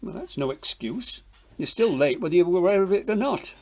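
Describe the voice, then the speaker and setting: A man playing a blustery, blunt, teasing older British windbag in an audio drama, with a husky voice. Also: high-pitched, very animated, a little fast, darkish tone, a little hiss or hum. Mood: grumpy. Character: grumpy, teasing old widowed windbag with opinions.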